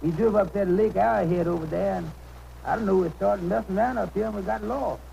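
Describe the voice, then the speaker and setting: An actor playing a humble, worried, polite taxicab driver with folksy ungrammatical speech in a 1930s radio comedy. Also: male voice, high-pitched, fast, gravelly, mellow, dull audio. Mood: polite, hesitant. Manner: folksy ungrammatical dialect, hesitant and deferential. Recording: noisy 1930s radio broadcast.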